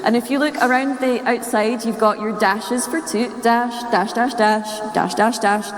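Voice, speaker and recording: A higher-voiced Scottish woman delivers a playful, nerdy talk in front of an audience. Her voice rings out in a very echoey hall with long reverb. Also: steady tone, measured pace. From Scotland. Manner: playful, chuckles at own wordplay. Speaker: speaker giving a talk. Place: large indoor room or hall, live talk.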